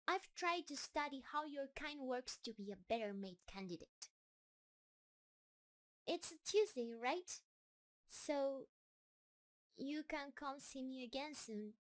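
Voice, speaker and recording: A woman playing a shy, lovestruck giant spider creature in a roleplay audio drama, speaking tenderly and hesitantly to the listener. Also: high-pitched. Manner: nervous, hesitant, tender, halting.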